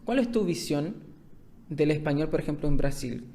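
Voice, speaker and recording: A man's voice: fairly high pitch, expressive, moderate pace, creaky, mellow. Man from Chile, podcast host, laughs often, video call, faint hiss. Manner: laughs often, jokes, restarts words. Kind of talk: casual interview over video call.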